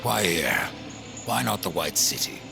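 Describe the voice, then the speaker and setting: A grim rider of death from a fantasy game, with an extremely low, gravelly voice. He is terse and dry, yet his pitch swings with menace. Loud cinematic music plays behind him. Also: big pitch swings, a little slow, full character voice. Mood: grim, suspicious. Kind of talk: dramatic game cutscene acting.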